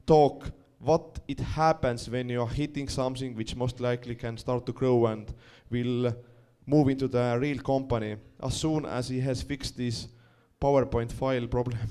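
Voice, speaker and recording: A man's voice: medium pitch, darkish tone, clean tone. A man hosting a live event, introducing the next speaker and sending the jury off with chatty, joking patter. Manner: chatty, jokes with the crowd, loose phrasing. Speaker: event host.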